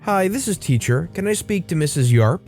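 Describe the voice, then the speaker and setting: A man's voice: fairly high pitch, animated, moderate pace, darkish tone, faint music behind, voice pushed up. A man voicing a curt school teacher who brushes off a caller on the phone. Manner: curt, dismissive.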